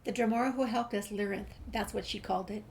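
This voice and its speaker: Female gameplay streamer, medium pitch, casual walkthrough asides and read-aloud dialogue, slight background noise. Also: steady tone, quick pace, vocal fry.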